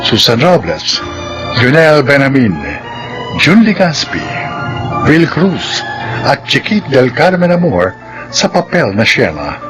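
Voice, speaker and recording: A man's voice: mid-range voice, sing-song, takes it slowly, pauses often. A man announcing for a radio drama, reading scripted closing lines and credits in a declamatory way. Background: music.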